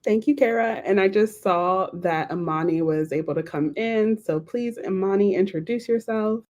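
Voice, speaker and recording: A woman's voice: medium pitch, moderate pace, darkish tone. A woman hosting and moderating a live panel in an enthusiastic, casual way. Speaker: host, moderator.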